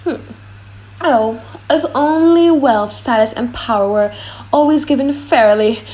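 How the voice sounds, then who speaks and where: A woman's voice: a little high, a little slow, a little mellow, natural voice. A woman voicing a vain, pompous prince in a dramatic audiobook, musing aloud theatrically. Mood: self-assured. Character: vain, pompous foreign prince weighing his choice aloud.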